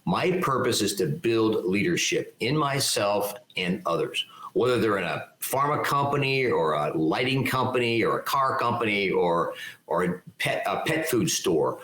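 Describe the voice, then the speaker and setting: Older man, low voice, loud and articulate, emphatic, echoey room. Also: expressive, normal speed. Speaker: keynote speaker and leadership mentor. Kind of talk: interview answers, conversational.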